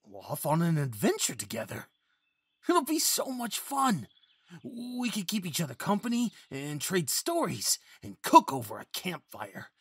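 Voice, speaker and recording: A man's voice: on the high side, wildly swooping pitch, measured pace, a bit bright, breathy edge, no room sound, full character voice. A young man acting a timid, eager, naive male faun who stammers and pleads sweetly in an ASMR fantasy roleplay. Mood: hopeful, eager. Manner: stammering, hesitant, childlike eagerness.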